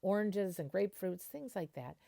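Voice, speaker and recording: A woman reading a children's picture book aloud like a teacher, expressively, pausing to explain words simply. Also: mid-range voice, lively intonation, gravelly, dark tone.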